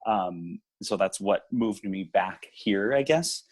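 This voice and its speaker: Husky-voiced laid-back man, low pitch. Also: slow. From Hawaii. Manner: relaxed, many ums, laughs easily. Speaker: venue owner, guest. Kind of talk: casual interview chat.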